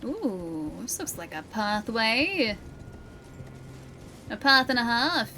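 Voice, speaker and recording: A woman's voice: a little high, very animated, slow pace, bright, forceful tone, slight background noise. A woman with a mild Australian accent and a slightly nasal voice, chatting casually and laughing often while she plays and streams a game. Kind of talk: casual gameplay commentary.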